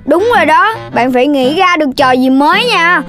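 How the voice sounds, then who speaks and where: A voice actor playing a playful young animal child in a cartoon, in a bouncy, eager childlike voice. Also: female voice, high-pitched, lively intonation, a little fast, a bit bright. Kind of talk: cartoon dubbing. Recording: cartoon with music underneath.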